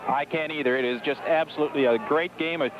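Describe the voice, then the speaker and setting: A man's voice: a little high, fairly even intonation, quick pace, over music. An American man giving color commentary and stats on a live football radio broadcast from an outdoor stadium, in a muffled, noisy 1970s recording.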